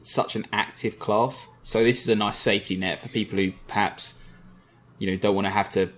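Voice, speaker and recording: A man's voice: lowish voice, steady tone, fairly quick, fairly bright tone. A man co-hosting a podcast, chatting casually about games in a conversational way. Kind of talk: casual gaming discussion. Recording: noisy mic with background ambience.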